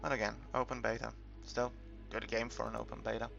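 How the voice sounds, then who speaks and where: A man's voice: mid-range voice, flat delivery, a little fast, gravelly, fairly bright tone. A man from the UK giving a casual, unscripted game walkthrough, rambling and hesitant as he thinks aloud. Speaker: gamer making a game tutorial. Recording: muffled, dull recording with background music.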